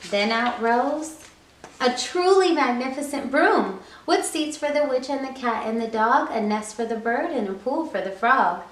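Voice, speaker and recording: A woman hosting a children's storytime, reading a picture book aloud with an expressive, rhythmic rhyming delivery. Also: sits high, measured pace, slight background noise. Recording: echoey room.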